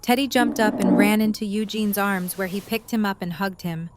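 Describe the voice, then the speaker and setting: A woman's voice: mid-range voice, fairly even intonation, normal speed, heavy background noise. A woman reading scripted third-person narration for an audio drama.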